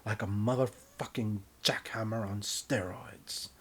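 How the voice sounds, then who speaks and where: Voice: mid-range voice, steady tone, a little slow, fairly bright tone, husky. A narrator reading their own comic story in a mock-serious, theatrical, scripted style.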